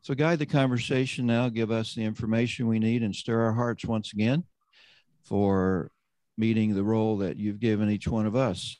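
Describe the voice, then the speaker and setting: A man hosting an online roundtable, introducing panelists and leading a prayer in a measured, conversational way. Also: fairly low pitch, steady tone, darkish tone, no room sound. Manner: measured, conversational, often slowing down. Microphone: video call.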